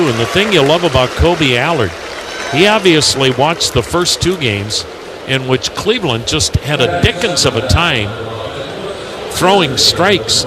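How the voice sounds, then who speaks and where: An American man calling a baseball game live on the radio as play-by-play announcer. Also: medium pitch. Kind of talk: live radio sports commentary. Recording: radio broadcast over loud ballpark noise.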